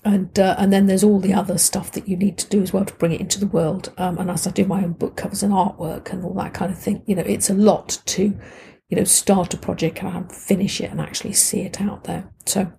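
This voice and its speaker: A middle-aged woman with a husky, slightly croaky voice, hosting a podcast in a chatty, informal, rambling way. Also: medium pitch, little pitch movement, fairly quick, dark tone, a little hiss or hum. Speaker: podcast host. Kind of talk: casual conversational podcast hosting.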